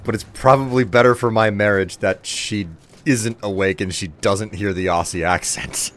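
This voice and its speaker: Low-voiced man rambling over gameplay. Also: fairly even intonation, a bit bright, slight background noise. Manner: rambling, self-deprecating, halting restarts. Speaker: streamer playing a game. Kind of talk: casual gameplay commentary.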